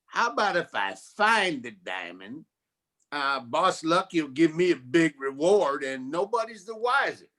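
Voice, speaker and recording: A man's voice: fairly high pitch, sing-song, unhurried, sharp tone, heavily acted. A man playing a wisecracking, streetwise 1940s private detective in a campy radio noir style. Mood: scheming. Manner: slangy, wry. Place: live community theatre stage performance.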